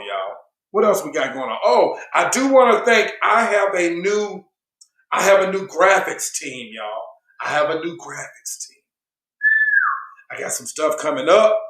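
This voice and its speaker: A man's voice: fairly high pitch, fairly bright tone. Echoey, slangy man hosting a show. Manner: laid-back slangy talk, many restarts. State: losing his voice. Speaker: show host. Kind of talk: casual online show chat.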